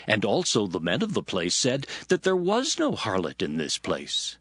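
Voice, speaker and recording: Brief: man voicing a puzzled searcher, husky, very lively pitch. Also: moderate pace, dry recording, clean studio sound, exaggerated voice.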